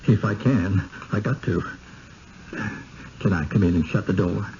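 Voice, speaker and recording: Mellow, folksy rural American servant. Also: male voice, low-pitched. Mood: urgent, foreboding. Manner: halting, homespun, plain-spoken. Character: folksy, uneasy servant in an eerie village. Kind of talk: 1970s radio drama acting. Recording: muffled, noisy old radio broadcast.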